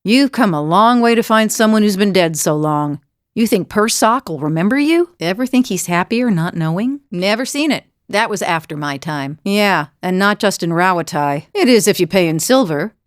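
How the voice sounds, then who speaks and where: A woman's voice: medium pitch, animated, moderate pace, no room sound, clean studio sound, lightly acted. A woman voicing a bold, teasing female fighter and wanderer in a fantasy video game, with a wry, conversational delivery. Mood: teasing, curious.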